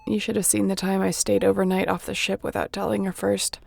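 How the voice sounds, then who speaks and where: A woman playing a wounded starship captain in a sci-fi audio roleplay, wry and caring, speaking haltingly and softly with a breathy, gravelly voice. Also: mid-range voice, level tone, dry recording, faint music behind. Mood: tender. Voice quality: gravelly, often breathy and whispery.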